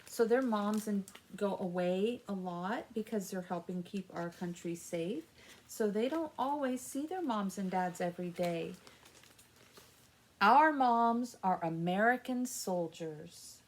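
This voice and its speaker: A woman's voice: mid-range voice, slow pace, slight background noise. A woman hosting a children's story time, explaining things in simple, encouraging words addressed to young kids. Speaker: library story time host.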